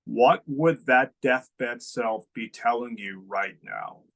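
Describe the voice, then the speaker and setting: A man with a gravelly, creaky voice speaks slowly in an unscripted spiritual talk. He is reflective and keeps asking his listeners questions. His pitch sits in the middle range. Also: a bit bright. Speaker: spiritual teacher.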